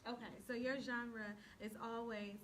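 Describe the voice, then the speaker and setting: A woman's voice: fairly high pitch, flat delivery, unhurried, fairly bright tone, far from the mic, a little hiss or hum. A woman talk show host chatting casually and encouragingly with guests in an interview.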